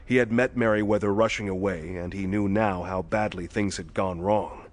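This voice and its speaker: An actor playing a quiet, authoritative police detective in a pulp thriller audio drama, explaining things evenly and methodically. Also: male voice, on the low side, steady tone, normal speed, lightly acted. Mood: measured, grave.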